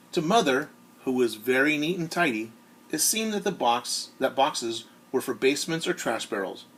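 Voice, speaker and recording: A man who is a teacher reads a storybook aloud to children in an expressive way, often turning to ask his listeners questions. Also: mid-range voice, slightly rough, low-quality recording. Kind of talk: animated storybook read-aloud with asides to young listeners.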